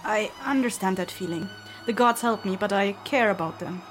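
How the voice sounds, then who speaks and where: A woman playing a warm, perceptive, teasing friend in an audio drama, familiar and gently probing. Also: mid-range voice, normal speed, faint music behind, close to an everyday voice. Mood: tender, earnest. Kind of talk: acted fan fiction audio drama.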